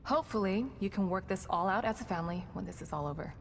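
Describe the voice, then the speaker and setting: A woman playing a composed, professional staff psychologist in a horror game, measured and matter-of-fact. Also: vocal fry, lightly acted. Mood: calm, measured. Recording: reverberant hall with rumbling noise.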